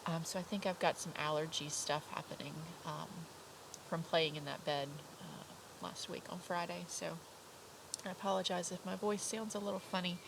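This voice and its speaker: A fairly low-voiced woman talks a class of young children through a lesson from outdoors, her voice a little stuffy from a blocked nose. She encourages the kids, fills pauses with ums and likes, and breaks into simple teaching songs. Crickets and insects chirp clearly behind her. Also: fairly even intonation, noisy background. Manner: warm, encouraging, with many fillers. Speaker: kindergarten teacher. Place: outdoors in a garden by an orange tree.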